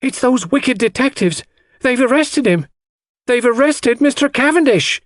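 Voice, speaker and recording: A frantic, tearful housemaid performed by a male audiobook reader. The voice is pushed very high and swings widely with agitation. It is recorded close and dry. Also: lively intonation, unhurried, dry recording. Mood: panicked. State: crying, agitated. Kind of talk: audiobook character voice.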